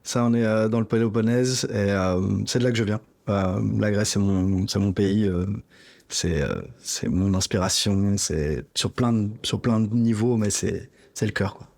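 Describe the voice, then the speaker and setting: Deep-voiced man chatting casually. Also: moderate pace, darkish tone. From Belgium, Greek parents. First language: Greek. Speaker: rapper being interviewed. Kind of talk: casual interview chat.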